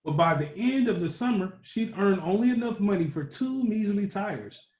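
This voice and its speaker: A man reading a children's picture book aloud as a storyteller, with animated emphasis. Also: sits high, moderate pace. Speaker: storytime reader. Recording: roomy echo.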